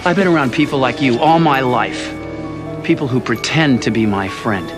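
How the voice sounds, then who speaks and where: A man's voice: mid-range voice, expressive, moderate pace, over music, close to an everyday voice. An actor playing a menacing, aggrieved middle-aged father who questions people accusingly in a television drama. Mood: accusing, bitter.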